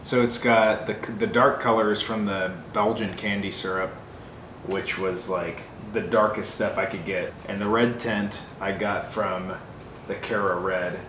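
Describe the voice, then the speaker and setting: A man's voice: on the low side, fairly even intonation, unhurried, noisy background. A man hosting a homebrew show, talking casually with a playful, dry sense of humor. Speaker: host, home brewer. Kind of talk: casual scripted narration and relaxed tasting chat.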